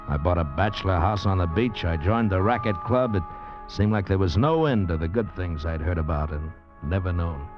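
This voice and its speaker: A greedy, scheming man with a low voice, confessing and persuading in hard-boiled fashion. It is golden-age radio drama, muffled by age, with prominent music behind it. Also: expressive, moderate pace. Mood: brooding, cold. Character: scheming, greedy man narrating his murderous affair. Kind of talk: 1940s-50s radio drama acting. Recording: dull vintage radio recording with music.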